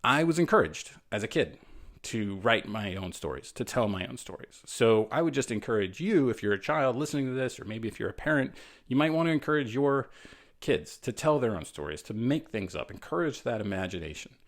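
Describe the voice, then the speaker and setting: Male storyteller for kids, friendly and encouraging, highly varied pitch, relaxed asides. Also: a little fast. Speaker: writer reading his children's story. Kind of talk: animated storytime reading with casual asides.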